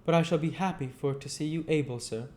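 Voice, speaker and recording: A man puts on a kindly, humble working-class older fellow from a storybook. The voice sits well up in pitch, with halting, deferential country phrasing. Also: darkish tone, lightly acted. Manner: rustic dialect, deferential, halting.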